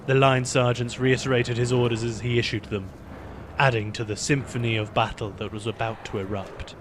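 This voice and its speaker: A man narrating a fantasy battle in measured, scripted storytelling for a fan audio drama. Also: mid-range voice, little pitch movement, background noise.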